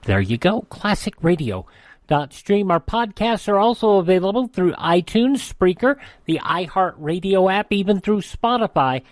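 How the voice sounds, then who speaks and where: A man's voice: high-pitched, big pitch swings, moderate pace, dry recording. A man hosting a radio show, delivering lightly scripted announcements and listener plugs in a presenter's style. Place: dry studio, music behind.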